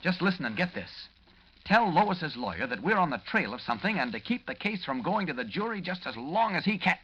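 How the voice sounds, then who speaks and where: A man's voice: a little high, very animated, brisk, fairly bright tone. An actor playing an urgent, commanding male newspaper reporter in 1940s radio drama style, giving clipped orders. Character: brisk, decisive male newspaper reporter taking charge. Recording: dull, faintly noisy old radio recording.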